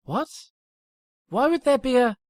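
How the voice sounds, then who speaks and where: A woman's voice: sits high, animated, a little slow, a little mellow, no echo, studio quality. A woman voicing a hot-tempered, indignant young female pony in an acted fan fiction reading. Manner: indignant, exasperated, emphatic.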